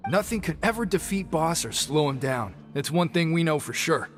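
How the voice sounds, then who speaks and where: A man's voice: a little high, animated, normal speed, music in the background, natural voice. An actor playing a devoted prisoner who speaks matter-of-factly about his boss. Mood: loyal. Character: devoted male prisoner crew member, loyal to his boss.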